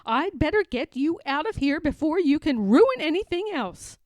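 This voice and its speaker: A woman voicing a brisk, busy hospital nurse in a storybook. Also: sits high, sing-song, darkish tone, no echo, pitched above the performer's own voice.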